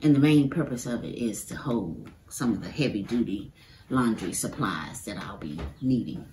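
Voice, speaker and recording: A woman's voice: a bass voice, expressive, moderate pace, warm tone, slight background noise. A middle-aged woman talking through a woodworking project step by step in her home workshop, chatty and casual, often saying "y'all". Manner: chatty, explains each step, says "y'all". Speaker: DIY woodworking creator. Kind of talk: casual how-to narration while building. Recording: echoey workshop room.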